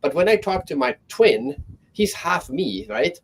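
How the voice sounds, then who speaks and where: A man's voice: fairly high pitch, sing-song, a little slow, slight background noise. A man speaking as a guest on an online panel, talking thoughtfully and often restarting his sentences.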